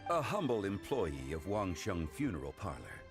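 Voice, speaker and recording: An actor playing a calm, philosophical gentleman in a video game, speaking in a measured, refined way. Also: sits low. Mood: courteous. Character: calm, erudite, ancient gentleman, courteous and philosophical. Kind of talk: scripted video game dialogue. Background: game music.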